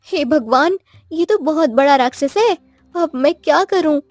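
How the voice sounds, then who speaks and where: A man's voice: very high-pitched, lively intonation, unhurried, no echo, soft background music, pitched above the performer's own voice. A man voicing a worried but plucky young village wife in a cartoon story. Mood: frightened. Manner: anxious, pleading, determined.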